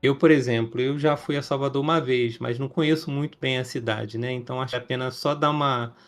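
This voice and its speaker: A man's voice: medium pitch, fairly even intonation, quick pace. A man hosting a podcast, introducing his guest in a rambling, hesitant way with many fillers. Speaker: podcast host.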